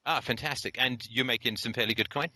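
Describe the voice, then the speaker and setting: Male call-in host, blunt and lecturing, bright forceful voice, dry close mic. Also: mid-range voice, steady tone, quick pace, no echo. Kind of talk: blunt philosophical call-in talk.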